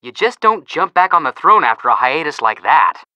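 A man's voice: a little high, big pitch swings, moderate pace, sharp tone, no room sound, heavily acted. An actor playing a cheeky, wisecracking teenage boy sleuth in scripted video game dialogue. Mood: joking, excitable. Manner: cheeky, teasing.

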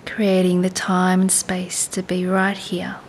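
Calm woman guiding meditation, very even pitch. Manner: calm, soothing, unhurried with long pauses. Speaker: meditation and yoga teacher. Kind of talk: guided body scan meditation. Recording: faint low rumbling background noise.

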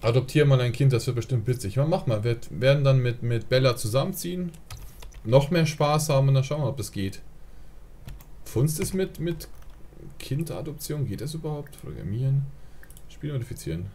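A man's voice: mid-range voice, lively intonation, moderate pace, warm tone. A man, live streaming a life-simulation game, rambling casually and answering chat with lots of fillers. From Germany. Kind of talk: casual gaming livestream chat.

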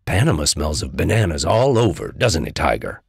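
Male reader doing little bear, storybook, low husky voice, calm, reassuring, confident, gentle, dry recording. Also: moderate pace, no echo, heavily acted. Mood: dreamy.

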